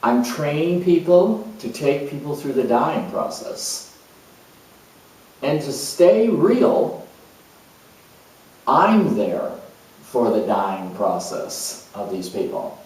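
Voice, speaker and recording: An actor playing a calm, spiritual hospice coordinator who speaks in an earnest, searching way with many pauses. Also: male voice, mid-range voice. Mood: reflective. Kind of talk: dramatized monologue. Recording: very echoey room, mic far off.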